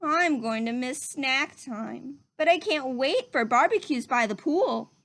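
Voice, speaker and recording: A woman's voice: sits high, expressive, measured pace, vocal fry, fairly bright tone, full character voice. A woman voicing earnest, wistful young schoolchildren in a storybook, with a simple singsong delivery. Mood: wistful, eager.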